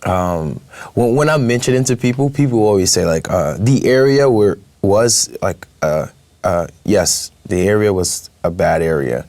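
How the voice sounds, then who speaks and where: A young man speaks, his voice sitting low. He talks casually as an interview guest, hesitating often with fillers and laughing easily. His voice sounds dry and close. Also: expressive, a little slow, darkish tone, dry recording. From Brooklyn, New York. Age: young adult.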